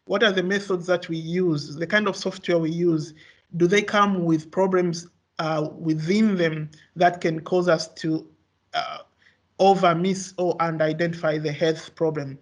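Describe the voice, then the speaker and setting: A young man whose first language is Swahili gives an academic research talk, halting often with fillers and restarts. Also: fairly high pitch, steady tone. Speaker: researcher presenting.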